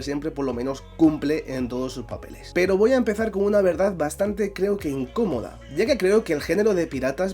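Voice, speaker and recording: A man's voice: a little high, darkish tone. A man with a Spanish accent from Spain, congested from a cold, giving a chatty film review and often tagging his sentences with a question. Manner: chatty, keeps asking "¿no?". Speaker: film critic.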